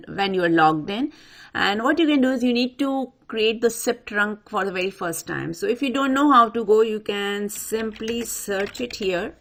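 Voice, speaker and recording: Woman doing an off the cuff software tutorial, mid pitched voice, explains each step right to the viewer. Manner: instructional, addresses viewer directly. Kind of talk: step-by-step screen walkthrough, unscripted.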